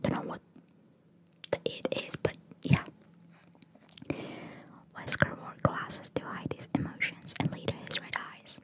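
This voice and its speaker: A woman whispering as an ASMR host, reading out trivia in a breathy voice with casual, chatty asides. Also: measured pace, long pauses. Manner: casual, chatty, playfully opinionated.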